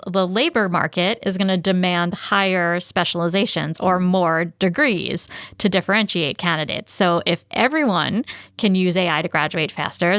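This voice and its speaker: Woman, American accent, lowish pitch, casual explanatory podcast talk, dry studio-like sound. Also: fairly bright tone, no room sound. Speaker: podcast co-host.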